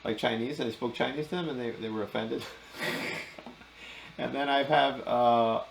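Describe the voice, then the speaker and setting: Gravelly guy, kinda hesitant, lots of uh, echoey room w faint hiss. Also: medium pitch, steady tone, moderate pace. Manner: hesitant, many uh fillers. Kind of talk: casual conversation.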